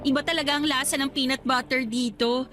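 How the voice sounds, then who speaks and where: A woman's voice: high-pitched, fairly even intonation, fairly quick, close to an everyday voice. A Filipino woman playing a teasing but guarded old sweetheart in conversational Tagalog radio drama dialogue. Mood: playful, nostalgic. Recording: faint music bed.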